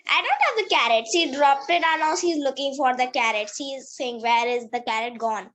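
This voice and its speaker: A young girl with an Indian English accent, a native Hindi speaker, practices English on a video call. Her voice is high, and she often pauses to find her words. Faint background noise comes through the call. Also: fairly bright tone. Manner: hesitant, searching for words. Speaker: student. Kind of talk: conversation practice.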